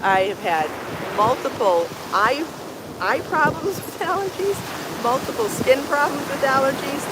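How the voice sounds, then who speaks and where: A middle-aged woman hosting a casual livestream, rambling enthusiastically and repeating herself, with a slightly hoarse voice. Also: fairly high pitch, background noise. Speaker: show host and crochet book author. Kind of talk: casual livestream chat to viewers. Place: outdoors on a sandy beach by the sea. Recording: outdoor recording with loud ocean surf. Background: waves and surf.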